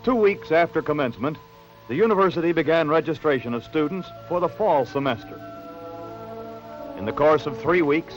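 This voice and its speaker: An American man reading scripted narration for a late-1960s newsreel in a measured, polished announcer delivery. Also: fairly high pitch, low-quality recording. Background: background music.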